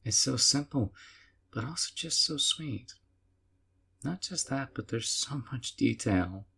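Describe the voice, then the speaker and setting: An actor playing a sweetly possessive young yandere man in an audio roleplay, doting and softly teasing. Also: mid-range voice, lively intonation, slow pace, gravelly, husky, exaggerated voice. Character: doting obsessive young male yandere captor.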